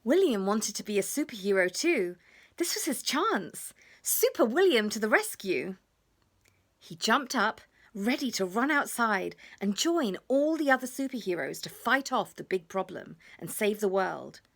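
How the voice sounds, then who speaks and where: Expressive woman reading a children's story, slowly. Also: mid-range voice, vocal fry. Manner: dramatic pauses, drawn-out emphasis, drops to hushed tones.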